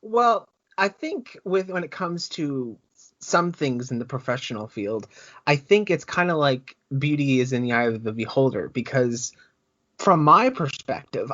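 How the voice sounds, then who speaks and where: A man's voice: medium pitch, fairly bright tone, no room sound. Eager man hosting a podcast. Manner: eager, stumbling, repeats words. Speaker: podcast host. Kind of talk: casual interview podcast. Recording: dull, dry recording.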